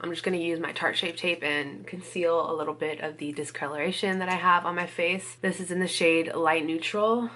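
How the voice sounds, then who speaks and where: A woman's voice: fairly low pitch, a bit bright. Chatty woman, still a bit stuffy from a cold. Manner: chatty, rambling, lots of "like" and "you guys". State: recovering from a cold, a bit congested. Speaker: beauty vlogger. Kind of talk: casual get-ready-with-me chat.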